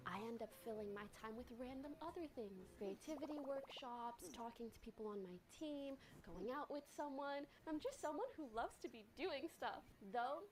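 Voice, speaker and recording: A woman's voice: high-pitched, expressive, moderate pace, hardly any pauses, crowd noise. A woman around thirty, from Morocco and raised in Canada, hosting a casual sit-down video and chatting animatedly with frequent laughs. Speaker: content creator and host.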